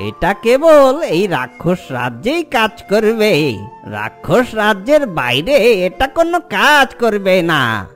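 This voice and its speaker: An elderly ogre grandfather from a fairy-tale cartoon, acted by a woman, speaking with gentle, coaxing care. The delivery is unhurried and swings widely in pitch, with faint music underneath. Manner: slow, coaxing, affectionate address to a grandchild. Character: doting old ogre grandfather, gentle and cautioning.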